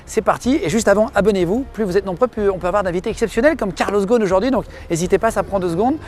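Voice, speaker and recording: A man hosting an interview podcast, quick and direct, who piles up short questions. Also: high voice, darkish tone, soft background music. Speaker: podcast host. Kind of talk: interview host and channel intro.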